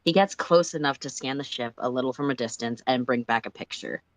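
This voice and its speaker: A storyteller reading fiction aloud in an expressive narrating style. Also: mid-range voice, a little fast, no room sound.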